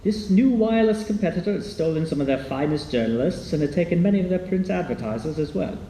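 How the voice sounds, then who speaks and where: An actor playing a formal, wordy male commentator speaking in measured tones in a radio docudrama. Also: on the high side, lively intonation, dark tone. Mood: dry, critical. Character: dry indignant commentator on the radio scandal. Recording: echoey, distant, faint noise.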